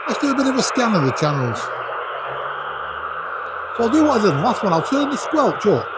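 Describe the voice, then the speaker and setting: A middle-aged man with a husky voice, chatting casually and excitably as he hosts a vlog. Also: high voice, brisk, very noisy. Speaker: vlog host. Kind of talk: casual chat to camera while testing a radio.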